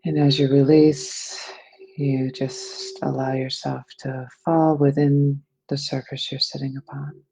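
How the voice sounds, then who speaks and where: Soft, husky, mellow meditation guide. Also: medium pitch, little pitch movement, rough recording. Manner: soothing, unhurried phrasing with breathy releases.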